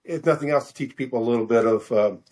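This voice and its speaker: An older man answering interview questions as a guest author, speaking in a hesitant, halting, rambling way. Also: medium pitch, darkish tone. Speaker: novelist guest being interviewed.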